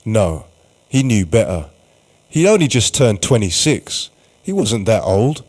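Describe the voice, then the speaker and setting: A man's voice: fairly low pitch, big pitch swings, unhurried, a little mellow. A man reading scripted, dramatic narration for a radio play. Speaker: narrator and writer-performer.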